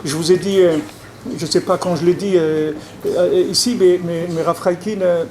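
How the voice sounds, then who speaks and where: Rabbi lecturing, higher-pitched man. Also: steady tone, normal speed, mellow. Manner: repeats words, restarts often, asks "vous comprenez?". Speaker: rabbi giving a public lecture. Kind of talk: conversational religious lecture. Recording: noisy lecture recording.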